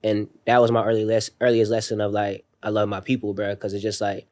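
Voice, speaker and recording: A man's voice: on the low side, completely flat delivery, brisk, a little mellow. A young man telling stories on a podcast in a laid-back, slangy way, with many fillers. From raised overseas in a military family. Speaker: podcast guest. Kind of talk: casual podcast conversation.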